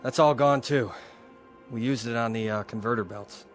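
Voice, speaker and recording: A man's voice: medium pitch, moderate pace, soft background music, natural voice. An actor playing a weary, dutiful colony technician in a radio drama, resigned and deferential to his superior. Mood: resigned, worried.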